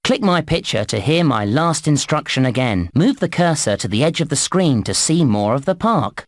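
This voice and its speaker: Animated, chirpy game guide played by a man. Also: mid-range voice, dry recording, clean studio sound, close to an everyday voice. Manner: clear, deliberate, instructive delivery. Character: chirpy, helpful male theme park game guide. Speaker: video game tutorial narrator. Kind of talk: scripted game instructions for children.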